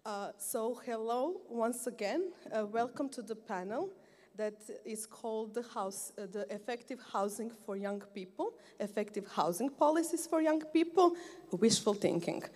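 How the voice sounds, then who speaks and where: A woman's voice: a little high, expressive, normal speed, a little mellow. A woman, moderating a live panel on a handheld microphone, chatty and friendly, often checking in with "okay?". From Croatia. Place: large sports hall used for a conference. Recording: long reverb of a big hall.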